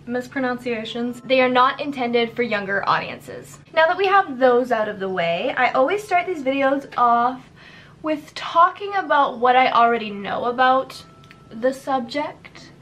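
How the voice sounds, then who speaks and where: A woman's voice: high voice, a bit bright. A young woman from Canada hosting her own channel, chatting casually and upbeat with lots of filler words while doing her makeup in a decorated bedroom. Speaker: YouTube host.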